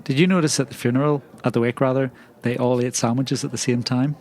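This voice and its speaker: Male actor, flustered reluctant agent, deadpan, medium pitch, comic audio drama. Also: fast, close to an everyday voice. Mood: observant. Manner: baffled, repetitive, deadpan.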